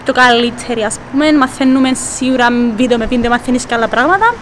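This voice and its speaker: A woman's voice: sits high, a little hiss or hum. A young woman with a Cypriot Greek accent, chatting casually as a vlog host while walking through a shopping mall. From Cyprus. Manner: lively, chatty, quick to laugh and correct herself. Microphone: handheld camera at arm's length.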